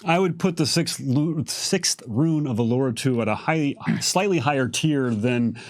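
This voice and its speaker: A man's voice: medium pitch, expressive, unhurried, no room sound. A man answering lore questions as a game developer, explaining off the cuff and often rephrasing himself mid-sentence. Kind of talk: unscripted explanatory talk.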